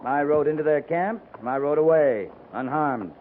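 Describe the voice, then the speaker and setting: An actor playing a calm, authoritative cavalry captain in a 1950s radio western, with a measured, dry, commanding manner. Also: male voice, a little high, close to an everyday voice. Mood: stern, firm. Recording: muffled, noisy 1950s radio recording.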